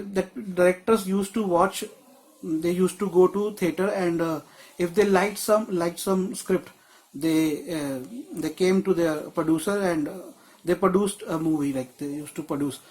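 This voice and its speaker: A man's voice: high-pitched, fairly even intonation, moderate pace, low-quality recording. A man teaching a screenwriting class on a video call, explaining in a loose, rambling way with many restarts. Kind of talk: live online class.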